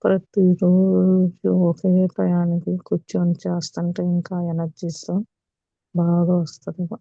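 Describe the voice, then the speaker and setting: Low, even-voiced Telugu woman guiding meditation. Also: vocal fry. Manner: slow, calm delivery with long pauses. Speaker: meditation teacher. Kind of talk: guided meditation instruction. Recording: muffled, echoey room recording.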